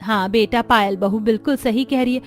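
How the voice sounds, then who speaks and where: A woman's voice: on the high side, fairly even intonation, moderate pace, faint music behind, lightly acted. Woman acting a caring, worried old mother. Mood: concerned. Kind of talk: cartoon family drama dialogue.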